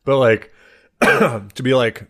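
A man's voice: medium pitch, takes it slowly, a bit bright. A man co-hosting a comedy podcast, chatting casually in a rambling way and acting out imagined quotes.

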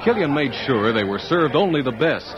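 A man's voice: medium pitch, sing-song, moderate pace, a crowd in the background, lightly acted. A man narrating an old-time radio western in a formal, dramatic, scripted style. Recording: dull old radio recording, music behind.

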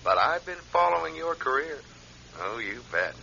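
A man's voice: mid-range voice, sing-song, creaky, bright, forceful tone, full character voice. A smug, taunting blackmailer in an old radio suspense play. His voice is very piercing and swoops widely as he needles with false chumminess, on a lo-fi, muffled recording. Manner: drawn-out, needling, mock-friendly. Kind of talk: classic radio suspense acting. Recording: dull lo-fi radio, faint noise.

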